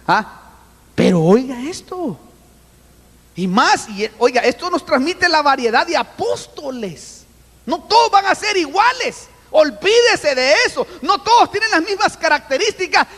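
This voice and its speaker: A man preaching a sermon with an animated manner, often calling out to his listeners as brother. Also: extremely high pitch, measured pace, big-room sound. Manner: animated, addresses listeners as brother, acts out voices. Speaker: apostle preaching.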